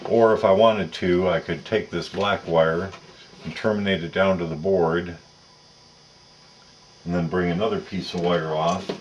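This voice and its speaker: Male tutorial presenter, low register, methodical and detailed, talking while working, with slight background noise. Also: fairly even intonation, highs cut off. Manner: methodical, detailed, unhurried explaining. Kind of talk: step-by-step hands-on instruction, talking while working.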